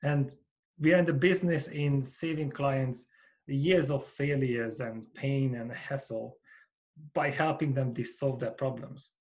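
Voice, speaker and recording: A man's voice: medium pitch, steady tone, unhurried. Earnest Hungarian-accented man. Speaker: guest, founder and coach. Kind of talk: podcast interview.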